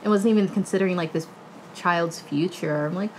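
A woman with a slightly low voice gives blunt opinions in a casual book chat. Her delivery is slangy and stop-start, recorded in a living room with background noise. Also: darkish tone, rough recording. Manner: opinionated, slangy, halting with many likes. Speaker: book club co-host. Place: living room couch, indoors.

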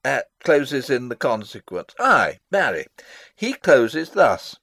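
A man's voice: mid-range voice, very animated, takes it slowly, creaky, a bit bright, slightly rough, no room sound, heavily acted. An actor playing a fussy, long-winded old father and royal counsellor in a theatrical Shakespeare reading, pompous and rambling. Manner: rambling, pompous, theatrical, losing his thread. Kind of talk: dramatic reading of a Shakespeare play.

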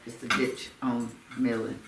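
A man speaking as a council member at a public meeting, halting and repeating himself as he searches for words. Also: medium pitch, flat delivery, vocal fry, over a noisy crowd. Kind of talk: informal public meeting discussion. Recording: echoey room, distant mic, faint noise.

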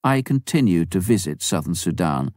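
A man reading a first-person memoir aloud as an audiobook narrator, in a measured, earnest manner. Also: low voice, lively intonation, darkish tone, no echo, studio quality. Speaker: audiobook reader.

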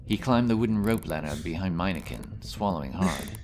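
A man's voice: sits low, expressive, a little fast, a little mellow. A man narrating a scripted fantasy adventure story as the narrator of an audio drama.

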